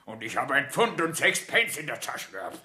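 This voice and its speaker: An actor playing a grumbling, defiant drunken vagrant with a surly, accusing manner in a German radio crime drama. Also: male voice, medium pitch, big pitch swings, moderate pace, bright, forceful tone, roomy sound. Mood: indignant.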